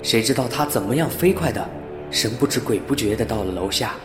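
A man's voice: medium pitch, lively intonation, brisk, lightly acted. A man playing a courteous, hesitant and easily puzzled building manager who also narrates a sci-fi radio drama. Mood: bewildered narration. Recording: roomy sound with music underneath.

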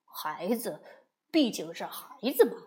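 A woman voicing a grown male bear father in a slow storybook reading, lowering her voice for him. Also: sing-song, a little mellow. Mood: easygoing.

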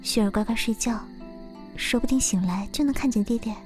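A woman playing a gentle, wistful young mother in a Chinese period audio drama, speaking softly and tenderly. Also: a little high, lightly acted. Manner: tender, soft, unhurried. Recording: background music under speech.

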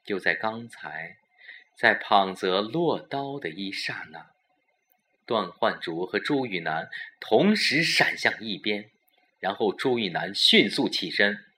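A man's voice: fairly low pitch, expressive, slow pace, pushed and bright. A man narrating a suspense audio story in a dramatic storytelling style.

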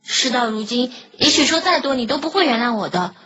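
A woman's voice: high voice, brisk, husky, heavily acted. A woman playing a sweet teenage schoolgirl in an audio drama. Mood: apologetic. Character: teenage schoolgirl Xiaomei, sweet best friend. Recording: dull lo-fi sound with loud music.

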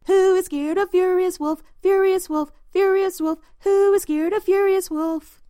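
Cheeky little storybook pigs, as a woman puts them on, squeaking up very high and bouncing through a taunting singsong. The voice is bright and loud against a dry, close sound. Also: lively intonation, slow pace, no room sound, full character voice. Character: little male pigs, small, cheeky and defiant.

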